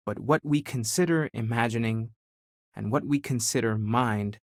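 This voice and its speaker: A man acting as a devout, thoughtful space explorer for a video game. He has a mid-pitched voice and speaks sincerely and conversationally, like a searcher after truth. The recording is close and dry. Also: moderate pace, dry recording, natural voice. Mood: philosophical. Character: earnest, devout young male space explorer, thoughtful seeker.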